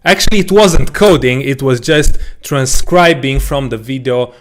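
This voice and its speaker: Relaxed Romanian-born man telling a story. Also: mid-range voice, measured pace. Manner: relaxed, self-deprecating, frequent restarts and small laughs. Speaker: coding educator. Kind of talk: casual storytelling to camera.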